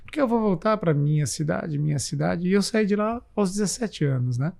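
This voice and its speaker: A man in his forties from the São Paulo countryside, a physician and health manager, speaking reflectively in an interview with frequent pauses and fillers. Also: sits high, expressive, gravelly, darkish tone. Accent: São Paulo countryside Brazilian Portuguese. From interior of São Paulo state, Brazil. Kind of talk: casual interview talk.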